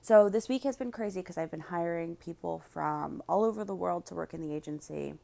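A woman hosting a podcast, speaking directly to her listeners in a slightly raspy voice. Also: lowish voice, a little fast, dark tone. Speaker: podcast host and business coach. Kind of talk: podcast monologue, promotional then casual chat.

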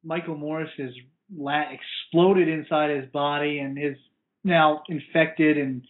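A man's voice: a little high, level tone, slow pace. A man co-hosting a casual sports chat, heard over a dull, lo-fi link. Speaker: sports podcast co-host.

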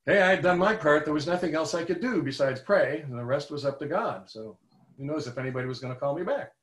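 A man's voice: a little high, quick pace, fairly bright tone. Earnest older man telling a story. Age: 70s. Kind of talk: personal faith testimony storytelling. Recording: roomy, echoey room.

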